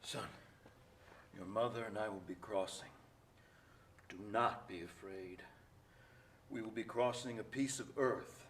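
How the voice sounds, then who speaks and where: A man's voice: on the low side, a little slow, pauses often, natural voice. An actor in a staged play reading, playing a tender, steadfast immigrant father comforting his son. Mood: tender, solemn. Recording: far from microphone, faint noise.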